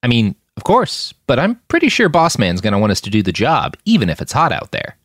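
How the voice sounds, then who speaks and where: A man's voice: medium pitch, wildly swooping pitch, brisk, fairly bright tone, dry recording, studio quality, voice pushed up. A man voicing a young, slightly nervous male team member with a casual, hedging manner in a story. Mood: hesitant, agreeable.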